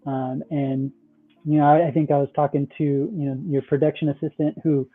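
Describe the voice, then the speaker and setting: A man's voice: fairly high pitch, level tone, normal speed. A man talking as a podcast guest in casual conversation, rambling with lots of fillers and tag questions. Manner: rambling, many "like", "you know" and "right?". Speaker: guest, metaverse project founder. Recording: tinny phone-like call audio.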